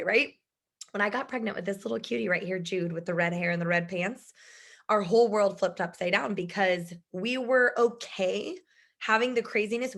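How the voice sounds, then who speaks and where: A woman in her thirties giving a chatty video call presentation from home, her voice strained from shouting, laughing often. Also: mid-range voice. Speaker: business presenter.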